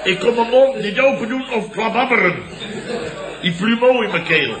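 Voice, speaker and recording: An actor playing a blustering, self-important middle-aged boss who tells indignant tall tales emphatically in a Dutch radio comedy. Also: male voice, sits high, lively intonation, moderate pace, a crowd in the background. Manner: emphatic, ends phrases on questioning tags. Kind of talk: 1960s-70s Dutch radio comedy acting. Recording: roomy old radio comedy recording.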